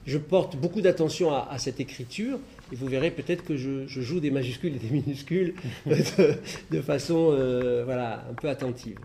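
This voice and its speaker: A man's voice: medium pitch, normal speed, vocal fry, hardly any pauses, mellow, slight background noise. A middle-aged man giving a philosophy lecture and answering audience questions, thinking aloud with many restarts and fillers. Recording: echoey hall recording.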